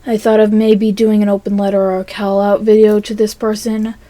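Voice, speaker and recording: A woman recording a casual, rambling audio log monologue in a hesitant, halting way that trails off between phrases. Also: mid-range voice, level tone, mellow, no room sound.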